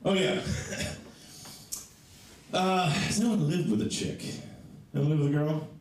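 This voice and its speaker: Stand-up comic with a low voice, echoey club. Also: male voice, unhurried, slight background noise. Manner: animated storytelling, voices for others. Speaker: stand-up comedian. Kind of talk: stand-up comedy set. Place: small comedy club. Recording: echoey club sound from a distant mic.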